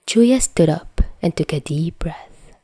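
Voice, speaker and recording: Lowish-voiced woman narrating a story. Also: expressive, slow pace, warm tone, breathy edge, no room sound, studio quality. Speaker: fan fiction narrator. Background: faint music.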